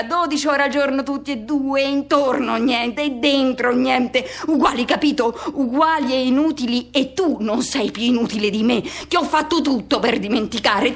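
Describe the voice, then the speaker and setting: High-pitched, intense, embittered dramatic monologue. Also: female voice, full character voice. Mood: anguished. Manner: intense, incantatory repetition, swinging from hushed to shouting. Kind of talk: dramatic radio theatre monologue.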